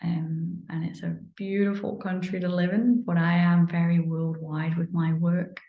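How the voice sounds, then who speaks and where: Gravelly-voiced woman with a Manchester accent. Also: on the low side, measured pace, reverberant, narrow, tinny audio. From England. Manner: relaxed, meandering, many ums. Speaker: energy healer. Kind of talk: casual promotional talk to camera.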